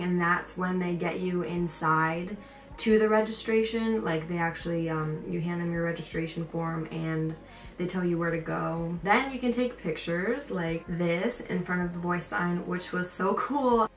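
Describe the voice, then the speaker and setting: A woman's voice: on the low side, steady tone, moderate pace, soft background music. A young woman vlogger telling a story and giving advice in a casual, chatty, emphatic way. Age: young adult. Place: indoor room with a picture on the wall. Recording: roomy echo, distant mic, faint noise.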